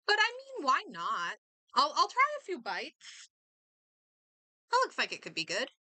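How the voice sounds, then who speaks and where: A woman doing casual game stream commentary, reading aloud and reacting in a halting, think-out-loud way. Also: sits high, huge pitch swings, no echo.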